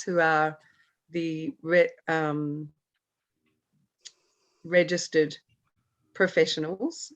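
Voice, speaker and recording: A woman from Australia speaks hoarsely because of a cold, taking her time and pausing on fillers as she introduces her work. Her voice sits a little low and moves slowly. The audio is dull and lo-fi, as from a video call. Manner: unhurried, hesitant with many fillers. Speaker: equine psychotherapy trainer.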